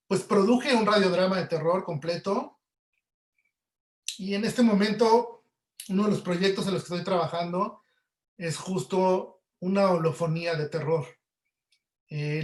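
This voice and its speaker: A man's voice: sits high, steady tone, measured pace. A man with a Mexican accent giving casual answers as an audio producer being interviewed in an online webinar. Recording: roomy, echoey sound.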